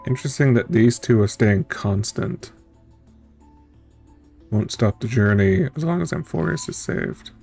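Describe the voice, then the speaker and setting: A man's voice: mid-range voice, animated, unhurried, gravelly, pauses often, dark tone. A man streaming a story game, reading its text aloud and adding casual, musing reactions as he thinks it through. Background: game music.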